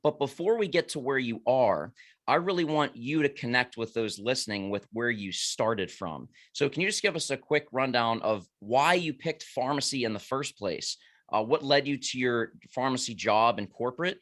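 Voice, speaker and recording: Energetic man hosting a podcast. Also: medium pitch, normal speed. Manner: energetic and emphatic, preacherly. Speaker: podcast host. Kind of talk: casual interview.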